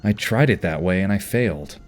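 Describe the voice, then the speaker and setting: A man's voice: low voice, animated, brisk, faint music behind. A man playing an earnest, caring team leader in an audio drama, speaking with sincere concern. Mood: earnest, frustrated.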